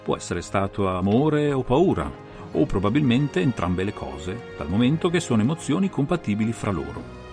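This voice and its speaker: Man acting analytical male detective, audiobook drama, assured, expository, creaky voice, soft music underneath. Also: fairly low pitch, very animated, moderate pace. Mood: grave, explanatory. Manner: assured, methodical, expository, theatrical phrasing.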